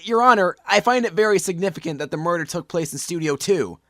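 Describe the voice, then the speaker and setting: Man acting high-pitched earnest young defense attorney. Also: expressive, fast talker, bright, forceful tone, dry recording, studio quality. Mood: pointed, confident. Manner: declamatory courtroom argument. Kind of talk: amateur anime-game fandub acting.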